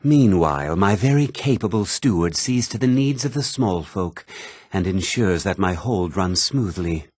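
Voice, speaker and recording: An actor voicing blunt, haughty men of a fantasy game world. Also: male voice, low-pitched, lively intonation, measured pace, a little mellow, no echo, studio quality. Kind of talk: fantasy game character dialogue.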